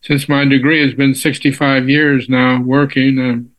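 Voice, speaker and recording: A ninety-year-old man from Texas answers questions as an interview guest. He talks in an unhurried, halting way, full of pauses and restarts. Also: medium pitch, little pitch movement, fairly bright tone, rough recording. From Texas, USA. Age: 90s. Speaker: physicist guest. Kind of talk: casual interview.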